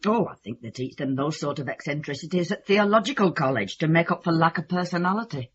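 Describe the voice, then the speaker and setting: An actor playing a disdainful listener with a British accent, husky and drily sardonic. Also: male voice, a little high, animated, fast, a bit bright, lo-fi sound. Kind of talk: radio drama acting.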